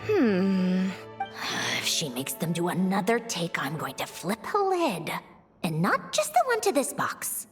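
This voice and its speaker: A woman's voice: lowish voice, huge pitch swings, slow, exaggerated voice. A woman voicing a proud, sardonic female clockwork doll with a huffy, haughty manner in an anime video game. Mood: irritated. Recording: loud music underneath. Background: background music.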